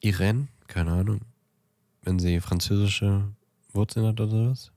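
A man's voice: on the low side, fairly even intonation, measured pace, warm tone, dry recording. A man co-hosting a podcast in casual, teasing, mock-serious banter. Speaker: podcast co-host. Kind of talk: casual banter between co-hosts.

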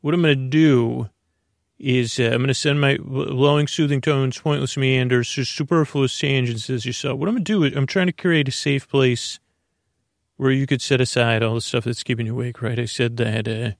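A male podcast host with a very creaky voice, recorded close and dry. He rambles in a bedtime-intro style, halting, restarting and drifting into tangents. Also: mid-range voice, fairly even intonation, no echo. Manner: meandering, halting, stammering, tangential.

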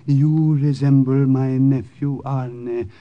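An actor playing a timid, deferential man with a halting, hesitant delivery in an old-time radio drama. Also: medium pitch, steady tone, close to an everyday voice. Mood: nervous, hesitant. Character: timid, deferential Norwegian uncle hiding saboteurs. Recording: dull, muffled old radio recording.

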